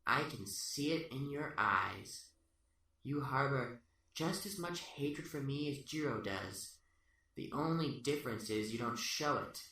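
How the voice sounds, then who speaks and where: A man's voice: medium pitch, expressive, measured pace. A man acting a smug, taunting serial killer who philosophizes without remorse in an amateur radio play. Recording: roomy, distant-mic sound under loud music.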